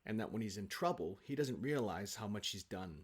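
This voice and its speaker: Low-voiced man, chatting enthusiastically. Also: animated, brisk, slightly rough. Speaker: interview guest, actor. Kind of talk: casual, enthusiastic conversation.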